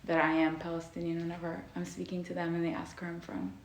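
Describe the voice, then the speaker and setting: A woman raised in Germany, speaking proudly of her identity in a flat delivery. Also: fairly low pitch, fast, reverberant.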